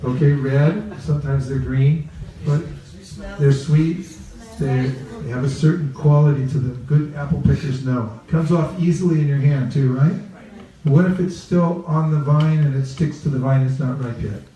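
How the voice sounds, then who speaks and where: A man teaches a group in a playful, interactive way, putting questions to them and repeating key phrases. His voice is soft-edged and mellow. It comes from some distance in a very echoey room. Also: fairly high pitch, fairly even intonation, moderate pace. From California. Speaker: religious teacher leading a training talk. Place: reverberant room with a live group.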